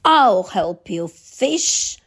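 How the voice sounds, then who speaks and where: A woman voicing a hungry male king from a children's storybook, helpful and decisive, with a commanding, deliberate delivery. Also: mid-range voice, sing-song, fairly bright tone, breathy edge, exaggerated voice.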